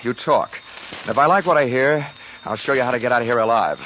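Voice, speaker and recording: An American actor playing a wisecracking, sardonic, tough-talking private investigator in a 1940s radio drama. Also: male voice, mid-range voice, fast, a bit bright, slight background noise, natural voice. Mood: taunting, pressing. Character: brash wisecracking hard-boiled male private investigator. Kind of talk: 1940s radio acting, first-person detective narration. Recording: dull lo-fi 1940s radio recording, music.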